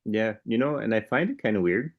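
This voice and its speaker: A man's voice: lowish voice, animated, a little fast, lo-fi sound. A man co-hosting a podcast in casual chat, skeptical and loose, chuckling as he rambles. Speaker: podcast co-host.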